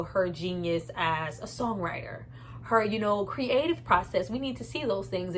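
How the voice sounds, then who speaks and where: A woman's voice: mid-range voice, fairly bright tone, a little hiss or hum. A woman giving a casual, opinionated movie review in a candid, conversational and emphatic way. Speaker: film reviewer and host.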